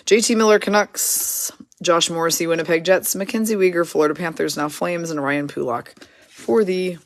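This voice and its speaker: A hoarse woman hosting a card break, casually rattling off player names and teams as she opens packs. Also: lowish voice, fairly even intonation, normal speed, no room sound. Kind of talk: casual card-opening commentary.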